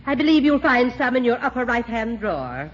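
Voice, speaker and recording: An actress playing an older, efficient and devoted secretary in 1940s radio comedy, prim, dry and matter-of-fact. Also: female voice, fairly high pitch, big pitch swings, brisk. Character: efficient, devoted, unflappable veteran office secretary. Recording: muffled, noisy 1940s radio recording.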